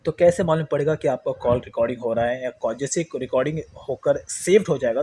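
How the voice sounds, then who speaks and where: A man's voice: medium pitch, dark tone, a little hiss or hum. A man with an Indian accent giving a casual step-by-step phone tutorial, explaining repetitively and mixing in English words. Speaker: tech tutorial host.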